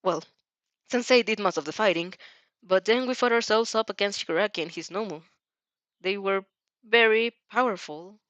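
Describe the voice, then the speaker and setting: A woman's voice: mid-range voice, moderate pace, fairly bright tone, dry recording, lo-fi sound, natural voice. A woman voicing an anxious teenage girl hero student in an anime fan story, hesitant and faltering. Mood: shaken, hesitant.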